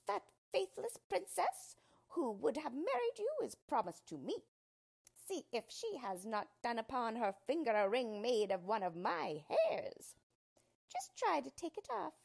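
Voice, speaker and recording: A woman's voice: on the high side, gravelly, voice pushed up, exaggerated voice. A woman voicing a small, boastful male dwarf villain in a fairy tale, defiant and taunting.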